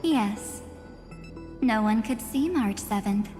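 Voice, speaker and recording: An enigmatic, self-possessed guardian woman from a video game. She speaks slowly and formally, in a long reverb with music clearly behind her. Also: on the high side, animated. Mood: calm, solemn. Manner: measured, cryptic, formal. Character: composed, enigmatic woman guardian of memory and oblivion.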